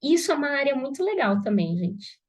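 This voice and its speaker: A Brazilian woman with a slightly high voice teaches students in a live class. She is stuffed up with a cold, and her talk stays casual and chatty, with lots of "né?" tags. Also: sing-song, a little fast. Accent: Brazilian Portuguese. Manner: conversational, frequent "né?" tags. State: congested with a cold. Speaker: pharmacist teaching aesthetics students.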